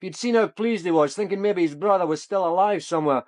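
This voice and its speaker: A man's voice: sits high, fast talker, natural voice. An actor playing a weary male detective who muses conversationally about his work, in a naturalistic radio drama. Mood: troubled, reflective. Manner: conversational, musing. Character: weary, reflective male police detective chatting off duty.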